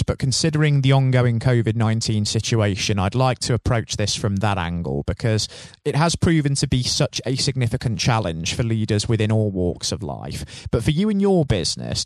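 A man's voice: mid-range voice, no echo. A man hosting a leadership podcast, reading a formal introduction and then interviewing his guest. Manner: smooth, formal and polished. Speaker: podcast host.

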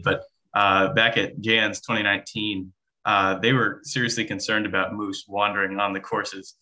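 A man's voice: fairly low pitch, pushed and bright, rough recording. A young man co-hosting a podcast, chatting casually in short, easygoing replies. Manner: short replies, easy laughter. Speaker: podcast co-host.

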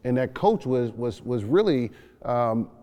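A man's voice: medium pitch, big pitch swings, unhurried, dark tone. A man in reflective conversation as a co-host, thinking aloud and often repeating words as he goes. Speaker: podcast co-host. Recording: long echoing reverb.

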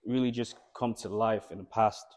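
A man, a guest founder in an interview, speaking in a relaxed, reflective way with "you know" fillers. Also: on the low side, normal speed. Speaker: sound studio founder, guest. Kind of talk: conversational interview.

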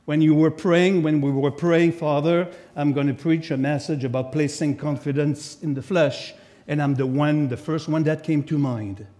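A man from Quebec with a French Canadian accent, preaching a sermon as a guest speaker in a church, with lively asides to the congregation. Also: a little high, moderate pace. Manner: animated, chatty asides to the congregation. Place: church sanctuary. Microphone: lectern microphone.

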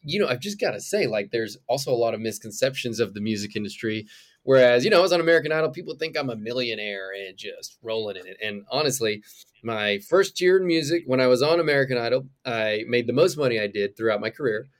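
A man's voice: mid-range voice, quick pace. A young man speaking as a podcast guest about his move from music into real estate, talking loosely with frequent laughs. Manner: animated, laughing, acts out quoted reactions. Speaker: guest, former singer turned realtor. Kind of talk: casual interview conversation.

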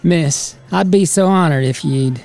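An actor playing a cocky 1890s gambler in a Western drama, teasing and mock-courtly with plenty of swagger. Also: male voice, a little high, unhurried, creaky, a little mellow, faint music behind. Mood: smooth swagger. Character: cocky young male frontier gambler in the 1890s. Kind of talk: semi-animated film voice acting, Western drama.

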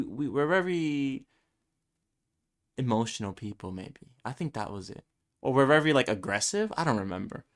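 A man's voice: medium pitch, a bit bright. A man in his twenties, a Spanish-speaking Brooklyn native, rambling alone on his podcast with many ums and restarts. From Brooklyn, New York. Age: 20s. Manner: rambling, self-deprecating, lots of ums and restarts. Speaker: podcast host. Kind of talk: solo casual podcast monologue.

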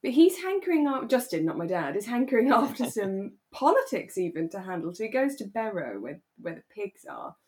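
A woman's voice: mid-range voice, big pitch swings, a little fast, few pauses, a little mellow. Woman chatting casually as podcast co-host. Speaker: actor. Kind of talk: relaxed casual chat about a radio drama.

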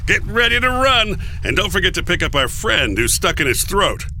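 A man's voice: medium pitch, wildly swooping pitch, unhurried, creaky, sharp tone, a little hiss or hum, full character voice. A man voicing an upbeat, cocky storybook coyote who talks in flippant, casual slang. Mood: excited. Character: cocky, upbeat trickster coyote, unfazed by danger.

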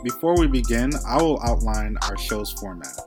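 A man's voice: mid-range voice, expressive, moderate pace, music in the background. A young man from Brooklyn co-hosting a podcast, upbeat as he reads a prepared outline. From Brooklyn, New York. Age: young adult. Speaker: podcast co-host. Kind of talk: scripted podcast introduction.

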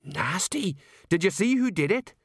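A man voicing a slow-witted but kindly police sergeant in an audiobook, plain-spoken and puzzled. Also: sits high, expressive, slightly rough, dry recording, studio quality, pitched above the performer's own voice, exaggerated voice. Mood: shocked concern. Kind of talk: audiobook character acting.

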